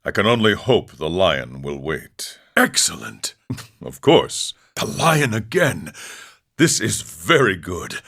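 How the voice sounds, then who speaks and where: A grave warrior monk from a fantasy video game, with a very low voice. His delivery is measured and philosophical, yet the melody moves widely, swelling and sinking. He carries himself as a proud, regretful seeker. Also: big pitch swings, gravelly, sharp tone, breathy edge, exaggerated voice. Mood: calm, eager. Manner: measured, philosophical, speaks of himself in third person. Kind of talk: scripted video game character dialogue.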